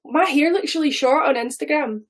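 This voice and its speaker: A woman's voice: high voice, moderate pace. A woman in her thirties with a Scottish accent, chatting casually and rambling on a live stream, swearing now and then. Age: 30s.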